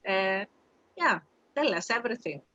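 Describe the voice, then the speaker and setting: A woman's voice: medium pitch, very animated, normal speed, bright, forceful tone, dry recording, highs cut off. A woman hosting an interview, asking questions hesitantly with fillers. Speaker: interview host.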